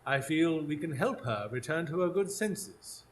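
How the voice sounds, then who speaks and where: Self-assured gentleman detective, male actor, formal, keen and very animated, for live radio drama. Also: on the high side, a little slow. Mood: confident, courteous. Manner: precise, formal, inquisitive. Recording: live stage recording, faint ambient noise.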